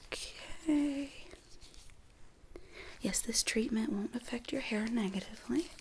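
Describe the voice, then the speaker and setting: Female ASMR performer as a gentle dermatologist: hushed, breathy whisper, unhurried reassuring delivery, slight background noise. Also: on the high side. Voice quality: soft, breathy, mostly whispered. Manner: gentle, reassuring, explaining step by step. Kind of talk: soft-spoken ASMR medical roleplay.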